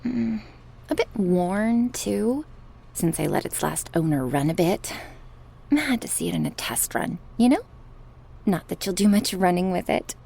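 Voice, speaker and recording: Woman playing a slow, mocking, sadistic goddess. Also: on the low side, animated, gravelly, mellow, breathy edge, full character voice. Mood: smug. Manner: taunting, sarcastic, slow and drawn out with sighs. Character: sadistic goddess, mocking, cruel, playfully menacing captor. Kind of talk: ASMR horror roleplay.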